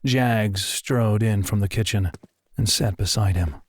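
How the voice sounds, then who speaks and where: Slow, dramatic male story narrator. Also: on the low side, a little mellow, a little hiss or hum. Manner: deliberate, dramatic. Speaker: audiobook narrator.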